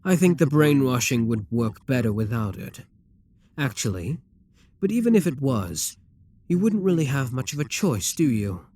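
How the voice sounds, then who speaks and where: A man's voice: mid-range voice, big pitch swings, normal speed, a little mellow. A man playing a proud, seductive demon king in an ASMR roleplay, speaking in an imperious, courtly and theatrical manner. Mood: indignant, haughty.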